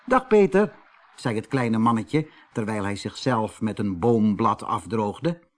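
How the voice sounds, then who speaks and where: A man narrating a fairy tale for children as an audiobook reader, in an expressive storytelling manner. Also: fairly low pitch, measured pace.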